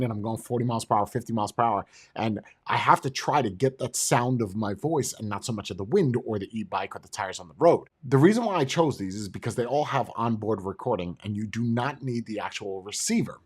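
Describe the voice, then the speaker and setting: A man's voice: mid-range voice, slightly rough. A man reviewing gear in a casual, rambling talk straight to his viewers, restarting sentences as he goes. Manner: persuasive, addressing viewers directly. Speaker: tech product reviewer.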